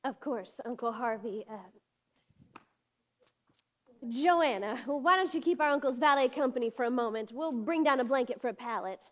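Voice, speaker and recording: Actress as a high-voiced, trusting young country woman. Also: fairly quick, natural voice. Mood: gracious. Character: trusting, warm-hearted young Southern country woman, eldest orphaned sister.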